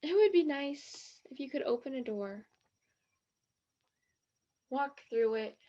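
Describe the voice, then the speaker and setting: A woman's voice: high voice, lively intonation, slow pace, mellow. A woman acting a naive, earnest, dreamy young romantic in a stage play, with a slightly muffled sound. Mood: shy, wistful.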